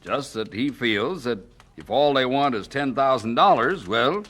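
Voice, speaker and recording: An actor playing a folksy, friendly small-town sheriff, hesitant and tactful, in a 1970s radio drama. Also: male voice, medium pitch, animated, measured pace, a bit bright. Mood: tactful, uneasy.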